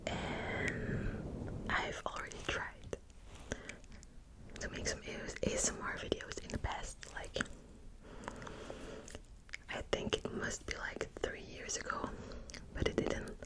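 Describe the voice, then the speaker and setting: Young woman, Belgian Dutch accent, soft unvoiced whisper, very slow and unhurried, hesitant fillers, ASMR book chat. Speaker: ASMR channel host.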